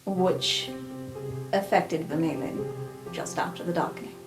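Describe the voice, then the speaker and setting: A woman's voice: lowish voice, a little slow, lightly acted. A woman voicing an inquisitive, bookish magic-university student in an improvised roleplay podcast, probing precisely with slight hesitation. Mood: careful, defensive. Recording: roomy sound with faint music.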